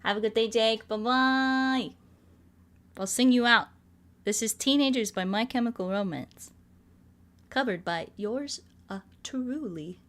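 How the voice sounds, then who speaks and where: A woman's voice: fairly high pitch, a little slow, a bit bright. A woman streamer in casual livestream chat with her audience, playful and rambling, often repeating her phrases in a singsong way. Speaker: streamer singing requests from chat.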